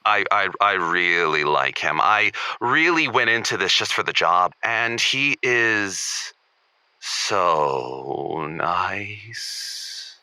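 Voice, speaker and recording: A man's voice: low voice, lively intonation, extremely slow, bright, forceful tone, clean tone, no room sound, full character voice. A man playing an anxious, lovestruck butler in training on a comedy phone-in, earnest, hesitant and overwrought. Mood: smitten, embarrassed. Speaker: caller.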